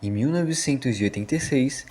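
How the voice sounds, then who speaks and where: A man's voice: mid-range voice, expressive, fairly quick, a little mellow. A man with a Brazilian accent narrating a storytelling commentary on a horror series. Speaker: video essay narrator. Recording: music under the voice. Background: background music.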